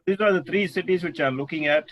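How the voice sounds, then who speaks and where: A man introducing himself on a video call, speaking haltingly with many restarts. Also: a little high, highs cut off. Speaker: real estate investor.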